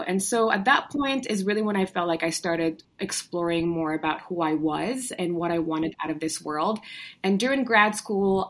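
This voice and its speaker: A young adult woman from Morocco, a guest telling her story in an upbeat, chatty way with frequent fillers and restarts. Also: lowish voice. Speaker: guest entrepreneur. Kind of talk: casual conversational storytelling.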